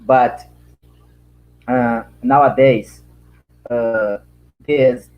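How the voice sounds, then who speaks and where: A man with a Brazilian Portuguese accent speaks slowly and haltingly in English, his second language, filling pauses and repeating words as he looks for the right phrasing. His voice sits at a middle pitch and comes through a slightly dull, muffled video-call line. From Acre, Brazil. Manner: halting, many fillers and repeated words, searching for words. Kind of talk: panel answer in non-native English.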